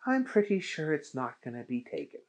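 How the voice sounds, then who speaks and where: A storyteller voicing a kind, patient woman librarian who speaks gently and slowly. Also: medium pitch, big pitch swings, close to an everyday voice. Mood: helpful.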